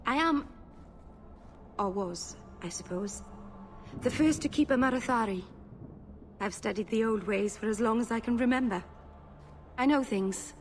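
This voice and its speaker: A woman's voice: a little high, unhurried, pauses often, slight background noise, close to an everyday voice. A woman voicing an earnest young female elf mage in a video game, hesitant and apologetic, tending to babble and interrupt herself. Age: young adult.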